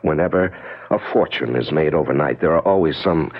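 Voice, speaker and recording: An actor playing an uneasy, placating husband with a husky voice and halting delivery in an old-time radio mystery. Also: male voice, extremely low pitch. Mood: defensive, explaining. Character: evasive, placating husband, an engineer, affectionate but uneasy. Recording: dull vintage radio recording.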